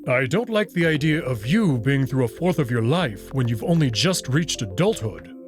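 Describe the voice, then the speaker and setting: A man's voice: medium pitch, big pitch swings, moderate pace, faint music behind, natural voice. A man voicing a big, young male alien wolf, caring and playful, in a visual novel. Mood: earnest, concerned. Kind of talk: visual novel character dub.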